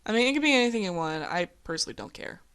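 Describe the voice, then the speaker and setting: A woman's voice: mid-range voice, expressive, rapid-fire, a bit bright, clear, resonant tone. Encouraging young-slang woman chatting casually. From United States. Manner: encouraging, informal. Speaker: video host, amateur voice actor. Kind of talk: casual chat.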